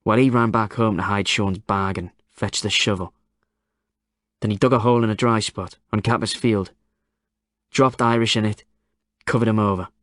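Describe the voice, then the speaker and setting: A man's voice: lowish voice, fairly even intonation, normal speed, pauses often, a bit bright, no echo, clean studio sound, natural voice. A man voicing a frail, tearful old man. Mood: quiet, haunted. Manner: sobbing confession, pleading.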